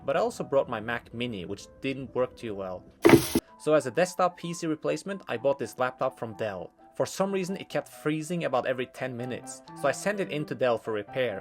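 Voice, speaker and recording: A young man from Norway narrating his own vlog in a scripted voice-over with dry, deadpan humor. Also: mid-range voice. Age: young adult. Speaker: vlogger narrating his life.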